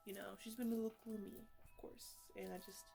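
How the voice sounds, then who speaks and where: Eccentric botanist played by a woman, ASMR roleplay, rambling, stammering, fondly bickering with plants, soft music and chimes behind. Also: mid-range voice, little pitch movement, a little fast, gravelly, natural voice. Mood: excited, teasing. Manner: rambling, stammering, mock-scolding asides.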